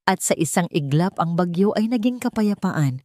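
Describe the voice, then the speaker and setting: A woman's voice: on the low side, lively intonation, moderate pace, a little mellow, no echo, studio quality. A woman with a Filipino accent reading a dramatic scripted story as its narrator.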